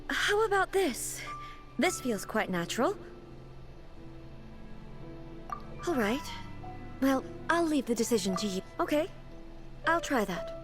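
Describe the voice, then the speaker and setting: A solemn immortal queen from a video game, somewhat high in pitch. She speaks formally and with care, earnest and innocently curious about the modern world. Music plays clearly behind her. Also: very animated, unhurried, pauses often. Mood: puzzled, earnest. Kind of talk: scripted video game dialogue.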